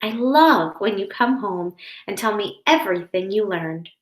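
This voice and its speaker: A woman reading the part of a kind, reassuring storybook mother who speaks tenderly and deliberately to her child. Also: mid-range voice, animated, close to an everyday voice. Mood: reassuring, loving. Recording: roomy, echoing indoor sound.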